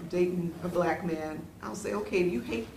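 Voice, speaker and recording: A woman's voice: lowish voice, normal speed, gravelly, darkish tone. A middle-aged woman speaking personally and conversationally in a discussion. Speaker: discussion participant. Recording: roomy, with faint noise.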